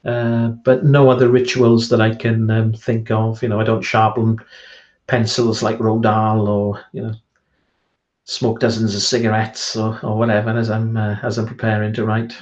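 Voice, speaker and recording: A man's voice: lowish voice, completely flat delivery, moderate pace, a little mellow, muffled recording. A Welsh man, an author being interviewed on a video call, talking in a hesitant, self-deprecating way with many ums and restarts. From Wales. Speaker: author guest. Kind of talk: casual online chat interview.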